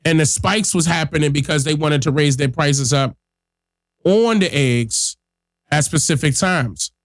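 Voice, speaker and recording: Podcast guy with a stuffed-up nose from a cold, kinda high voice, blunt and straight up, dry close mic. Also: no echo. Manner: blunt and emphatic, talks straight to viewers. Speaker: podcast host. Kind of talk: casual political commentary.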